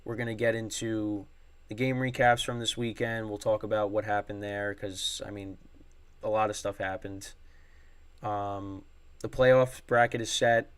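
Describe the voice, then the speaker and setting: A man's voice: lowish voice, little pitch movement, measured pace, clear, resonant tone. A young man hosting a sports podcast solo, rambling with many fillers and restarts, his voice strained from screaming at a game. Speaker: podcast host.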